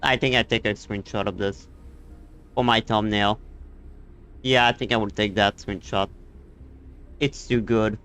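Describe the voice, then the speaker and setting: A man's voice: on the low side, fairly even intonation, measured pace, pauses often, a bit bright, clear, resonant tone. A man livestreaming a video game with casual, sweary commentary. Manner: casual swearing, muttering exasperation.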